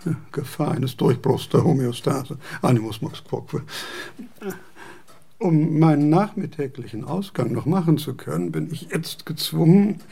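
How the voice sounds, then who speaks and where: An actor playing a frail, aged male philosopher in a radio play, fussy and wandering, slow and halting. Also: fairly high pitch, vocal fry, dark tone, slightly rough. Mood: pedantic. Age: older.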